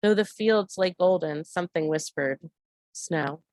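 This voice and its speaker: A woman gives a poetry reading in a slightly low voice. She takes the verse slowly, and the sound is dry and close, with little room in it. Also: a little mellow, dry recording. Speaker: congregation member reading poetry.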